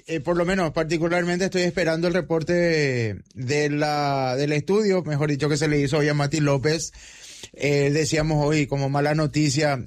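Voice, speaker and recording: Higher-voiced Paraguayan man reporting football.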